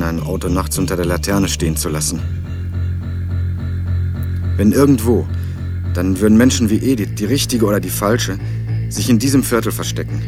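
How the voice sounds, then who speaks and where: A man's voice: deep voice, close to an everyday voice. A young man narrating a radio crime drama in the first person, with a laconic, dry hard-boiled manner. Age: young adult. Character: sardonic young man hunting his missing cousin. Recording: radio play with music underneath.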